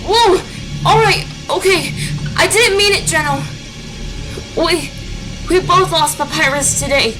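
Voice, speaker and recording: A woman's voice: very high-pitched, measured pace, pauses often, rough recording. A woman voicing an earnest, pleading male skeleton in a dramatic comic dub, with music behind. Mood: placating.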